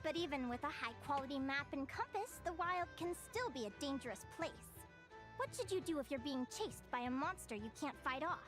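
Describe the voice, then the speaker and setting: A woman's voice: high voice, animated, a little fast, over music. A woman voicing an eager, bubbly young guild advisor who lectures with gushing enthusiasm, in a video game. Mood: lecturing. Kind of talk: game voice acting. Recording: game soundtrack behind.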